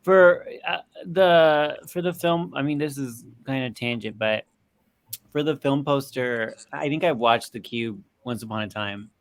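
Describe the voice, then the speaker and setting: A man chatting casually as a film panelist, feeling his way through halting, meandering sentences. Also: mid-range voice, no room sound. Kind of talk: casual movie discussion.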